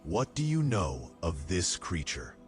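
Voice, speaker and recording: Man as a low-voiced, stern fantasy knight. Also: huge pitch swings, unhurried, faint music behind, full character voice. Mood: wary. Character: stern, dutiful castle knight man, wary and formal. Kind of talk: fantasy audio drama acting.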